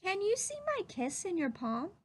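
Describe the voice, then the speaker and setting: A woman reading a storybook, voicing a gentle, reassuring mother raccoon who speaks tenderly and patiently. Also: extremely high pitch, wildly swooping pitch, normal speed, pitched above the performer's own voice, exaggerated voice. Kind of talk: read-aloud children's storybook.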